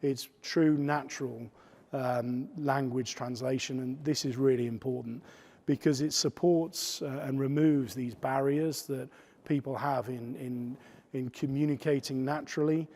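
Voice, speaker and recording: A man's voice: mid-range voice, fairly even intonation, measured pace, darkish tone. A man giving a business conference talk as a company chief executive, hesitant with many fillers and restarts. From United Kingdom. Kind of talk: conference presentation.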